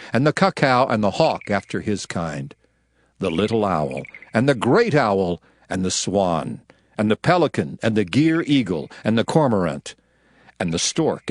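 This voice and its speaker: A man's voice: fairly low pitch, dry recording. A man playing a solemn lawgiver preaching commandments, declaiming in a dramatized scripture reading with music behind him. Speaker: scripture narrator.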